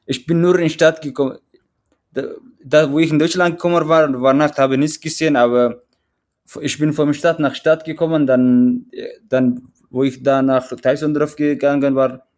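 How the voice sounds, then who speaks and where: A man's voice: on the high side, fairly even intonation, moderate pace, darkish tone. A man from Eritrea with an Eritrean accent, a runner interviewed in a casual chat, speaking hesitantly with many restarts as he searches for words. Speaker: guest, mountain runner.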